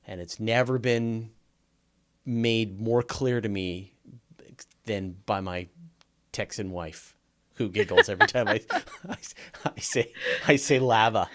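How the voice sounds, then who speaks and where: A man's voice: on the low side, slow pace, pauses often. A man with a Canadian accent, co-hosting a science podcast in an enthusiastic, casual chat. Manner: enthusiastic, animated. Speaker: podcast co-host.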